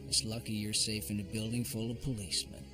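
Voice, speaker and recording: A man voicing a stern, skeptical police officer in an animated series, dry and authoritative. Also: on the low side, fast talker, over music, lightly acted.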